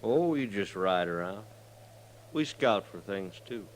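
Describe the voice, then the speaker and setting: Low-voiced frontier bully, folksy Western American drawl, lively swings, mock-reassuring menace. Radio drama, hissy old recording. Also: male voice, unhurried, low-quality recording. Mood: sly, casual. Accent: rural American Western.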